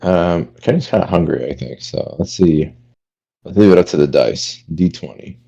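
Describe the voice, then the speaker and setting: A man with a very deep, gravelly voice speaks slowly as a player in a tabletop game. His pitch swings widely. The voice chat sound is dull and lo-fi. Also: animated, mellow.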